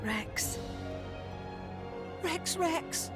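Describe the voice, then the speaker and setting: A woman's voice: very high voice, expressive, extremely slow, exaggerated voice. A woman voicing a spirited young heroine in a video game cutscene. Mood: worried. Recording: loud background music.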